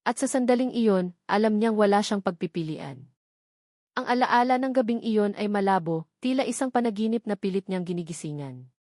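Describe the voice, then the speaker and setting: A woman's voice: mid-range voice, expressive, moderate pace, darkish tone, no echo, studio quality. A woman with a Filipino accent reading a scripted story as a dramatic audiobook narration.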